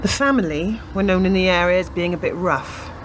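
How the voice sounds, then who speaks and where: A woman in a radio play acting a persistent, earnest police detective who lays out facts deliberately. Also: lowish voice, slight background noise, close to an everyday voice. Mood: factual, earnest.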